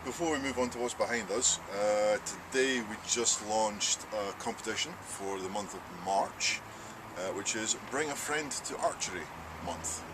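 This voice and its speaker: A Scottish man with a fairly low voice chats easily about gear and a new contest. He keeps a dry, relaxed tone. The recording is outdoors, with clear traffic and street noise behind him. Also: normal speed. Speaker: archery shop co-host. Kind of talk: casual unboxing chat. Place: outdoors at an archery range.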